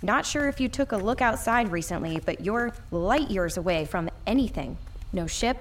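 An actress playing a wry, practical spaceship mechanic in a naturalistic audio drama. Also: female voice, medium pitch, lively intonation, normal speed, a little hiss or hum, close to an everyday voice. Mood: brisk, defensive.

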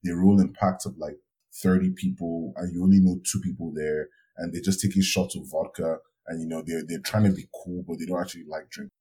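A man's voice: deep voice, a little fast, creaky, warm tone. A man co-hosting a casual podcast chat, telling stories and laughing easily. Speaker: podcast co-host.

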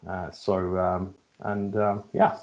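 A man's voice: deep voice, little pitch movement, slow, darkish tone, lo-fi sound. A middle-aged man with a Finnish accent answering interview questions, hesitating and repeating words as he finds his phrasing. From Finland. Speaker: podcast guest, logistics executive.

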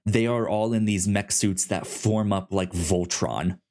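Low-pitched man, halting and stammering. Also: steady tone, a little mellow. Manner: halting, stammering on words, repeating syllables. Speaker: podcast co-host. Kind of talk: casual chat recapping a comic series.

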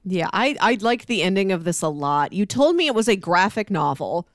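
A woman's voice: medium pitch, no echo. Woman chatting casually, dry close sound. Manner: conversational, acts out quoted lines. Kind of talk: casual discussion.

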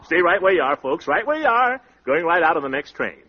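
An actor playing a brash, self-satisfied suitor with breezy slangy patter and mock-flowery flourishes, in old-time radio comedy style. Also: male voice, on the high side, big pitch swings, fairly quick, slightly rough. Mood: loud, teasing. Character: brash show-off young suitor, loud self-satisfied windbag. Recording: muffled old radio broadcast recording.

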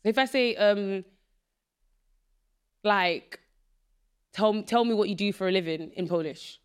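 A woman's voice: mid-range voice, clear, resonant tone. A young woman in her twenties from Nigeria, hosting a podcast in casual, chatty conversation with a friend. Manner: quick, chatty, animated storytelling with slang. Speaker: podcast host. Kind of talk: casual chat.

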